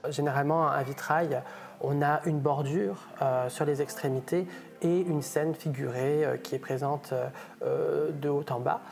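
A man's voice: a little high, fairly even intonation, unhurried, creaky, a little mellow, faint music behind. A young man, an art historian, describing stained glass in an enthusiastic, conversational way with fillers, in a small chapel. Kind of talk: conversational expert commentary. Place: small wood-paneled chapel, indoors.

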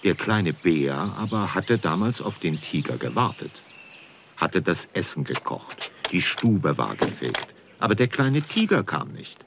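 A man's voice: low-pitched, big pitch swings, measured pace, background noise. A man narrating a scripted children's story as storyteller.

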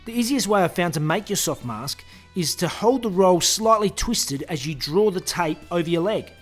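A man in his thirties with an Australian accent, showing a car body repair in his garage workshop, relaxed and practical with many "uh" fillers. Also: sits high, normal speed, soft background music. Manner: relaxed, practical, self-deprecating, lots of "uh" fillers. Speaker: DIY car repair host. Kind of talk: hands-on workshop tutorial with voice-over. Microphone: lapel mic.

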